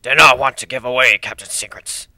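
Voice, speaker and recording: A reader doing a gruff, gravelly dog guard. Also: brisk, pushed and bright, dry recording, low-quality recording. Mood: stern. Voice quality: low, gravelly. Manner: terse, clipped broken grammar.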